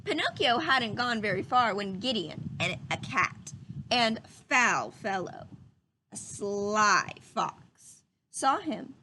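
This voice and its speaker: A woman's voice: medium pitch, sing-song, slow, sharp tone, a little hiss or hum, rough recording. A woman reading a children's story aloud expressively, with little asides to her listeners.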